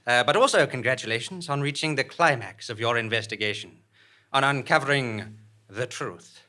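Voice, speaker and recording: A man's voice: mid-range voice, sharp tone. An actor playing a courteous, formal, portentous male nemesis who rules a false reality in a theatrical audio drama.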